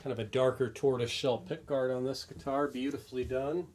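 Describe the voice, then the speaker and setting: A man's voice: medium pitch, fairly even intonation, brisk, a little mellow. A man hosting a live-stream guitar demo from a guitar shop, talking through each instrument in an upbeat sales patter. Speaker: guitar showcase host. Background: acoustic guitar playing.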